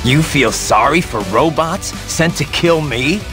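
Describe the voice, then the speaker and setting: An actor playing a blunt, determined cyborg mercenary in a video game, with a terse, dry, gruff delivery. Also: male voice, a little high, measured pace, music in the background. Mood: sardonic, impatient. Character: sardonic, hard-bitten male cyborg mercenary, blunt and determined. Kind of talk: video game radio dialogue acting.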